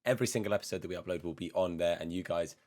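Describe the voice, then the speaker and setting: A man hosting a gaming channel, hoarse from losing his voice, chatting casually and rambling as he plays a career mode. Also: sits low, rapid-fire, a little mellow. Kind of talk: casual gameplay commentary.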